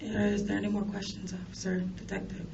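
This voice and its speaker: A woman's voice: mid-range voice, monotone, brisk, mellow. A woman being questioned by police as a suspect, answering with terse, defensive denials. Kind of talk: police interrogation. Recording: distant muffled interview recording, clear background noise.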